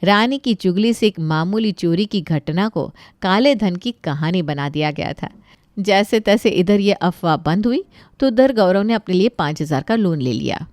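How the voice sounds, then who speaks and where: Casting: female narrator for moral tales, expressive storytelling, mid-pitched, very dry sound. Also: moderate pace, dry recording.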